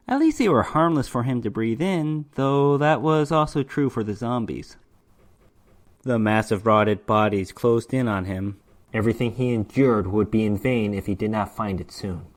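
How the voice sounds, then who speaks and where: A man's voice: fairly low pitch, a little mellow. A man narrating a fantasy horror short story as a dramatic reading, with theatrical, emphatic delivery.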